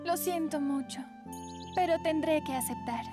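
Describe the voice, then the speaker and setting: A woman playing a gentle, apologetic teenage girl in a cartoon, pleading as she speaks. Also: sits high, moderate pace, over music, close to an everyday voice. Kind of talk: animated cartoon dubbing.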